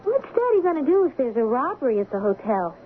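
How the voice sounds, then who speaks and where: An actress playing a lively, questioning teenage high school girl in a radio drama, expressive and wry. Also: quick pace. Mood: curious. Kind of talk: 1970s radio drama acting with first-person narration. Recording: muffled lo-fi radio recording with hiss.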